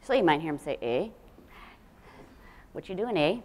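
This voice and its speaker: A woman's voice: low-pitched, very animated, normal speed. A woman speaking as an event host, giving a live introduction of a speaker.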